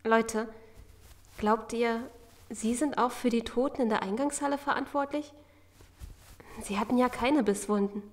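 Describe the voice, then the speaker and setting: An actress playing an anxious, guilt-ridden survivor in an audio drama, pleading and troubled. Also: female voice, on the high side, fairly even intonation, a little slow, pauses often, lightly acted.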